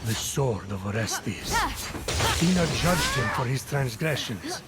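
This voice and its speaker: A man playing a solemn storyteller in a video game, recounting myths in a measured, declamatory way. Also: medium pitch, wildly swooping pitch. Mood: grave, proud. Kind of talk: scripted mythic game narration. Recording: loud game music behind the voice.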